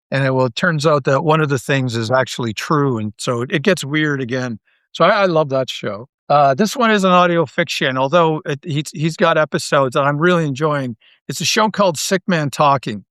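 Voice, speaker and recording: A man's voice: fairly high pitch, normal speed, fairly bright tone, no echo, studio quality. A man talking casually as a podcast guest, rambling along with enthusiasm. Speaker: podcast guest, audio drama creator. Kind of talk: casual interview chat.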